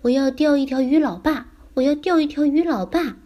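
Woman acting young boy, storybook character, eager, clever, excitable, raised pitch. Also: measured pace. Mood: chanting. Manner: excitable, exclamatory.

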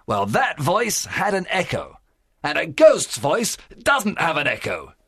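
A man voicing a cunning, commanding pirate leader in a storybook, with a rough, gravelly voice and forceful delivery. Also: on the high side, wildly swooping pitch, a little slow, no echo, studio quality, pitched above the performer's own voice, full character voice. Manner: forceful, bossy. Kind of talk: dramatised storybook reading.

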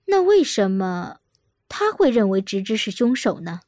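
An actress playing a troubled woman seeking revenge, narrating an audio drama in a deliberate, introspective way. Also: mid-range voice, very animated, darkish tone, dry recording, clean studio sound, natural voice. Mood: brooding, unsettled.

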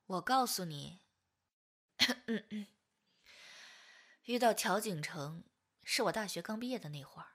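A woman's voice: mid-range voice, expressive, takes it slowly, pauses often, fairly bright tone. A woman acting in a Chinese audio drama as a tender, wounded young woman confessing a past love. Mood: wistful narrating.

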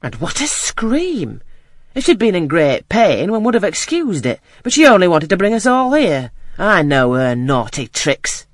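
A disgusted maidservant, as a reader does her, scolds in a slow, sniffy way. The sound is dry, and the pitch rises and falls freely. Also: huge pitch swings, dry recording. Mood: scornful. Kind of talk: audiobook character reading.

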